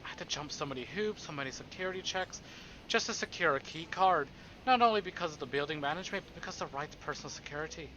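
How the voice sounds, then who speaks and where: Guy streamer narrating a visual novel, bright highish voice, kinda theatrical, slightly muffled audio. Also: expressive, fast, a little hiss or hum. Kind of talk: dramatic read-aloud of a visual novel with casual asides.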